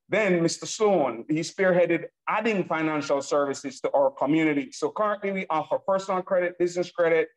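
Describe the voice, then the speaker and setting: A man's voice: a little high, poor audio. A man from Nigeria giving an enthusiastic sales presentation on a laptop video call, repeatedly calling out to the audience. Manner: enthusiastic and hype-driven, repeats himself, addresses audience as ladies and gentlemen. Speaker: presenter of an online business webinar.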